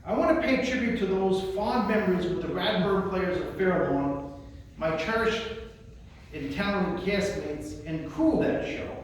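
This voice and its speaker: Male poetry reader, notably high voice, theatrical emphasis, heard from a distance in a very reverberant space. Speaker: librarian presenting a poetry reading. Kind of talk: poetry recitation with spoken introductions. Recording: roomy echo, distant mic, faint noise.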